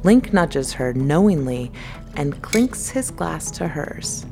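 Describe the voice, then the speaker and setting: A woman's voice: low voice, very animated, takes it slowly, gravelly, over music. A woman giving scripted dramatic narration as the narrator of a radio play.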